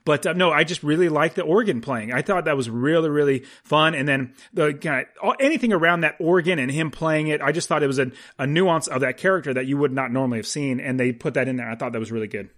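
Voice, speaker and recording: Man, moderately high pitch, podcast co-host, informal film chat, emphatic repetition. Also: fast talker, few pauses, a bit bright. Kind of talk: casual movie discussion podcast.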